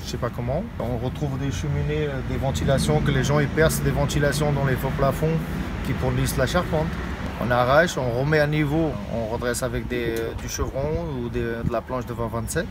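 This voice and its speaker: A man from France who works as a roofer, talking casually in an interview, halting with many fillers and laughing easily. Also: medium pitch, fairly even intonation, heavy background noise. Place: Paris rooftops outdoors.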